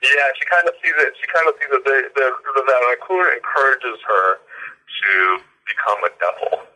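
A man's voice: mid-range voice, normal speed, bright, forceful tone, low-quality recording. A man, an author being interviewed, explaining in a thoughtful way with frequent fillers and repeated words. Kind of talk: conversational interview.

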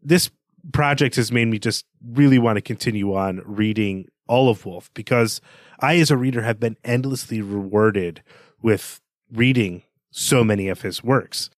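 A man's voice: lowish voice, normal speed, no echo, clean studio sound. A man co-hosting a podcast, analysing a book reflectively and restating his points as he goes. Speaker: podcast co-host.

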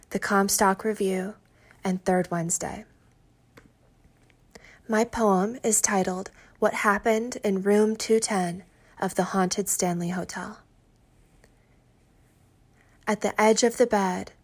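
A woman poet reading her own work aloud in a measured, literary style. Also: medium pitch. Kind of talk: poetry reading.

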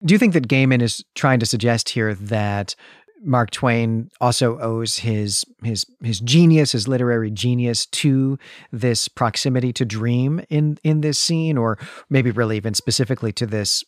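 A man co-hosting a podcast, discussing literature casually and phrasing his ideas in a measured, tentative way. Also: mid-range voice, dry recording, studio quality. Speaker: podcast host.

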